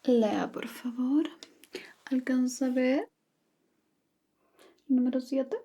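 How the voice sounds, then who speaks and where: A woman whispering softly and teasingly, quizzing the listener on Chilean slang in an ASMR roleplay. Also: high voice, fairly even intonation, slightly rough. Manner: slow, soft, teasing like a teacher. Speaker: Spanish slang teacher.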